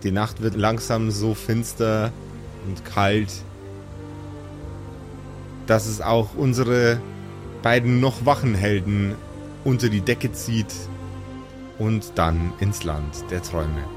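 A man's voice: lowish voice, steady tone, slow pace, pauses often. A man narrating a fantasy tabletop game as game master. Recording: background music under the voice.

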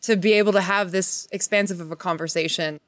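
A woman's voice: on the low side, fairly even intonation, fairly quick, a bit bright, no room sound. A woman guest on a podcast, talking reflectively and earnestly in long, searching, wordy sentences. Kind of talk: casual, reflective podcast conversation.

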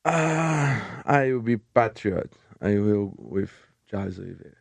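A young man with a Brazilian Portuguese accent, a podcast guest speaking halting English and often restarting words. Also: lowish voice, expressive, gravelly, no room sound, clean studio sound. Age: young adult. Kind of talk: casual interview chat.

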